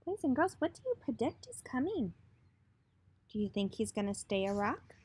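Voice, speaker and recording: Woman, high voice, expressive children's read-aloud, teacher-like, noticeable background noise. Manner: expressive, pausing to put questions to young listeners. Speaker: teacher reading a picture book aloud to children.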